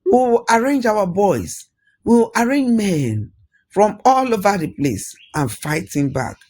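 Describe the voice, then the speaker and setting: A man's voice: high-pitched, sing-song, slow pace, a little mellow, pitched above the performer's own voice, heavily acted. A man voicing a loyal, confident friend in a folktale, encouraging and resolute. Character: loyal, confident male friend rallying for battle.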